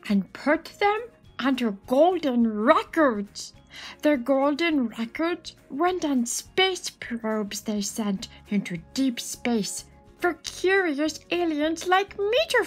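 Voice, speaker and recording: A woman's voice: sits high, voice pushed up, full character voice. A woman voicing a curious, friendly storybook space alien who explains things cheerfully and asks questions.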